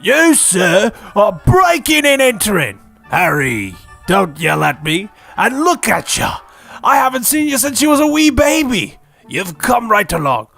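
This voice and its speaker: Man playing a boisterous rustic half-giant, gravelly. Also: sits high, big pitch swings, a little slow, bright, forceful tone, faint music behind, full character voice. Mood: menacing, loud. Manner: rustic British dialect, hearty. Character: boisterous, crude half-giant groundskeeper man, kindly to kids.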